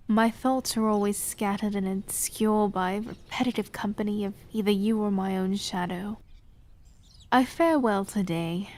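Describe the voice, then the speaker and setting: A woman voicing a cursed young queen who speaks in a slow, formal, solemn way in a fantasy audio drama. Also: mid-range voice, darkish tone, close to an everyday voice.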